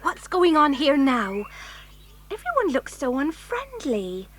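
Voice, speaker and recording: A woman playing an eager, excitable traveller girl in a children's audio drama, exclaiming and pleading. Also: sits high, takes it slowly, full character voice. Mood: puzzled, indignant.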